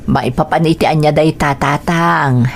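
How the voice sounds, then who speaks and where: A woman with a Filipino accent acting a gentle, respectful village mother and daughter in an audio drama. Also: low voice, fairly even intonation, a little slow, creaky, exaggerated voice. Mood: gentle, concerned. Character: caring grown daughter and mother of a village family.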